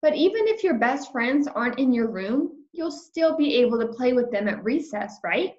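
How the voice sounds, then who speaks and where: A woman voicing a gentle, patient storybook mother reassuring her kindergartner in a soft, soothing manner. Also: on the high side, animated, moderate pace, echoey room, muffled recording, natural voice.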